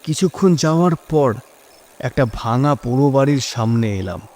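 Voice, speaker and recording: A man's voice: mid-range voice, expressive, normal speed, darkish tone. A man narrating a scripted first-person horror story in a dramatic, suspenseful manner.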